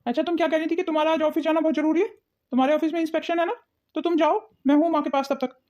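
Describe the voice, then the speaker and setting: Woman voicing a fast-talking calm young husband. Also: high voice, lightly acted. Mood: reassuring. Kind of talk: Hindi cartoon family drama dialogue.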